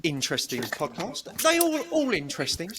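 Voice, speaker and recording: A man's voice: fairly high pitch, wildly swooping pitch, a little fast, a crowd in the background. A man co-hosting a podcast, bantering casually with a blunt, rambling way of talking and the odd swear word. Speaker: podcast co-host.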